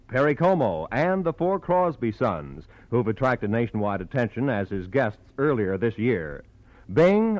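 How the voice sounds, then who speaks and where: A man's voice: medium pitch, no room sound, low-quality recording. A man with an American accent announcing a scripted promotion in 1940s radio style.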